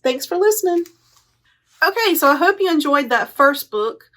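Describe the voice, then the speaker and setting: A woman reading a picture book aloud for children as a storytime host, with deliberate, playful emphasis. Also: high-pitched, lively intonation, sharp tone.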